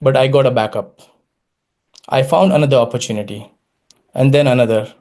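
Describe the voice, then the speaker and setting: Man, Gujarati-accented English, public speech, storytelling, wry humor, mid-pitched voice. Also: darkish tone. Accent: Indian (Gujarati). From India. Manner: storytelling, dramatic pauses, self-deprecating humor. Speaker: Toastmasters speaker.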